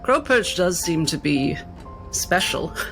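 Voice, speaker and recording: A man's voice: high voice, very animated, slow, over music, full character voice. A man playing a reserved, skeptical woman scholar, politely curious and hesitant.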